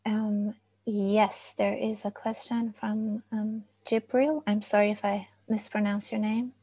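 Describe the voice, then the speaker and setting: A woman's voice: mid-range voice, flat delivery, takes it slowly, mellow, breathy edge. A woman in her forties politely moderating an online workshop Q&A, with frequent ums, over an earphone mic. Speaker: workshop host. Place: study with framed pictures, indoors. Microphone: wired earphone mic on a video call.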